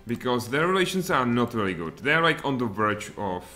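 A man in his thirties with a Czech accent, streaming a strategy game from home in lively, muttered commentary with sudden outbursts. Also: medium pitch, brisk, soft background music. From Czech Republic. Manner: animated, muttering asides, sudden exclamations. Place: home room, indoors.

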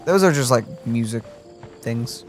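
A woman's voice: rumbling low, expressive, a little slow. A woman co-hosting a gaming stream in casual let's play chat. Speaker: gaming co-host. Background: game music.

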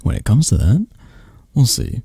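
A man playing a possessive, flirty mafia boss who is a tender lover, in a soft, intimate, halting ASMR romance roleplay. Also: mid-range voice, huge pitch swings, vocal fry, husky, no room sound, clean studio sound, heavily acted. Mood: relaxed, possessive.

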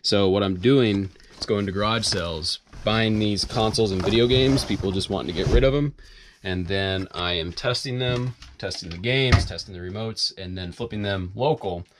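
A man's voice: lowish voice, normal speed, noisy background. A man in his thirties, chatting casually on camera inside his converted school bus about his projects. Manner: relaxed, chatty explaining. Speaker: vlogger, bus owner. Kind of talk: casual vlog narration.

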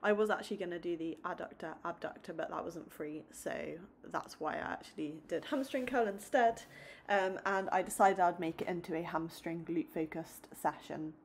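A young woman vlogging about her day and workouts, chatting upbeat to a close phone camera, then calmly explaining each exercise in a voice-over. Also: fairly low pitch, slight background noise. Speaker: fitness vlogger. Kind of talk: casual vlog chat and workout voice-over.

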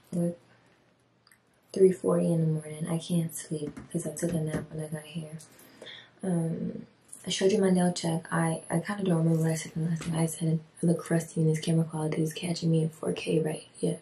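A woman's voice: on the low side, a little mellow, far from the mic. Chatty young woman in an echoey bathroom. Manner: chatty and rambling, slangy. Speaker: vlogger. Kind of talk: casual vlog talk to camera. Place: small bathroom at night. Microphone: handheld camera close to the face. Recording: echoey bathroom, faint hiss.

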